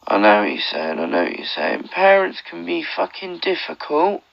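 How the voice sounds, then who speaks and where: Very creaky, rambling casual talker on lo-fi radio. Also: mid-range voice, big pitch swings, normal speed. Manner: rambling, repetitive, frequent swearing. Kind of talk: casual advice chat over radio. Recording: dull lo-fi walkie-talkie audio.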